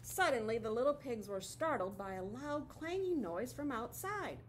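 A woman's voice: on the high side, sing-song, moderate pace, a little hiss or hum. A middle-aged woman teacher reading a picture book aloud expressively to children, recorded in a classroom. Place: classroom, indoors.

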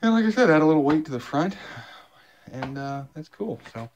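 A man's voice: a little high, expressive, brisk, a little mellow, highs cut off, a little hiss or hum. A man filming a casual show-and-tell vlog in his home basement workshop on a handheld phone, rambling with lots of fillers and restarts. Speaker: vlogger. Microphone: handheld phone camera.

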